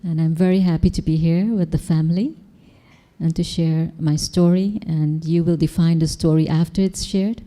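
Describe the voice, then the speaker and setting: A woman's voice: low-pitched, steady tone, moderate pace, mellow. A woman from Guyana speaking on a panel in a meditation hall, sharing her personal story in a friendly, conversational way with small laughs. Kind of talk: personal testimony.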